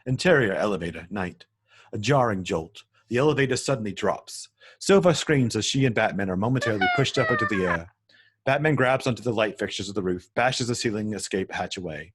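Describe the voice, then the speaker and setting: A man's voice: fairly low pitch, lively intonation, a little fast, noisy background. A man narrating stage directions in a script table read, matter-of-fact and occasionally stumbling over words.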